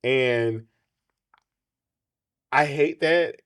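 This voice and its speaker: A man's voice: medium pitch, extremely slow, a bit bright, clear, resonant tone. A man hosting a casual interview, speaking supportively with enthusiasm and casual slang. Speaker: interview host.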